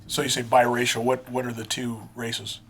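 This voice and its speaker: A man's voice: mid-range voice, completely flat delivery, normal speed, fairly bright tone, a little hiss or hum. Male podcast host asking questions. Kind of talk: interview questions.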